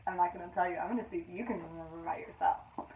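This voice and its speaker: A woman's voice: fairly low pitch, rapid-fire, phone-quality sound, slight background noise. Woman reading aloud, roomy and distant. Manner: expressive storytelling for young children. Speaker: teacher reading aloud to pupils. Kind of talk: children's picture book read-aloud. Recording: roomy echo, distant microphone.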